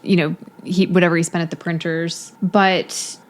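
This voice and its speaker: Woman podcast host going over a case, kinda low voice, lots of "you know"s, just chatting. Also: level tone, moderate pace. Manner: conversational, many fillers like "you know". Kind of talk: casual chat, recounting a case.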